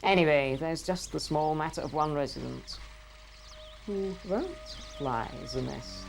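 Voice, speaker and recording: Lady doing a brisk no-nonsense supervisor, low-ish voice, dry. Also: lively intonation, soft background music, full character voice. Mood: cool. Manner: crisp, matter-of-fact and dry. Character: cool, unsentimental female supervisor, brisk and efficient. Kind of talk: amateur audio drama.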